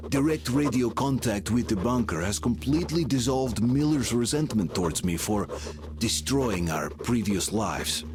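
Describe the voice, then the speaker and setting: Reflective soldier narrator, voiced by a man, calm and measured over clear background noise. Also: mid-range voice, lightly acted. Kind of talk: scripted game narration.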